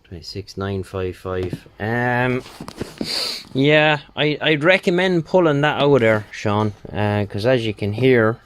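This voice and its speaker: An Irish man talking casually through a repair job, thinking aloud in an unhurried, colloquial way. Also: medium pitch. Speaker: radio repair technician. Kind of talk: casual spoken bench walkthrough. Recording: steady background noise, bench clicks.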